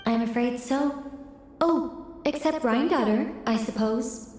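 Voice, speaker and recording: A woman voicing a composed, courteous mage in a video game, speaking in a measured, formal way. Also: a little high, darkish tone, heavy reverb, soft background music. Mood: wry.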